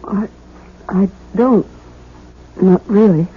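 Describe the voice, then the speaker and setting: Fragile, anxious young bride. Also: female voice, mid-range voice, takes it slowly, slightly rough. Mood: bewildered. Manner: hesitant, faltering. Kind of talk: 1970s radio drama acting. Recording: dull, faintly noisy 1970s radio broadcast.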